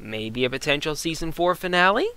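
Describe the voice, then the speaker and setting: Bright, higher-pitched male reviewer. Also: animated, a little fast, smooth, dry recording. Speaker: co-host reviewer. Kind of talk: conversational scripted review commentary.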